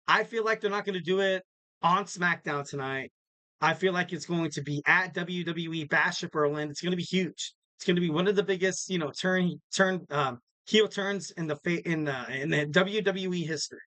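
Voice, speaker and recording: A man with a bright, forceful, slightly raspy voice, a little higher than most men. He hosts a solo wrestling podcast, talking loosely and upbeat with lots of fillers. The sound is dry and close. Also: normal speed, dry recording, poor audio. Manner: rambling, filler-heavy, upbeat promo talk. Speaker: podcast host. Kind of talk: casual solo wrestling preview.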